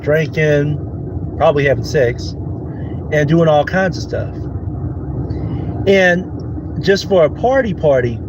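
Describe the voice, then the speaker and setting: A man's voice: on the high side, expressive, slow pace, long pauses, highs cut off, background noise. A man in his thirties from the United States, hosting a casual solo podcast and rambling through his points with many fillers and restarts. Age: 30s. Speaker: podcast host.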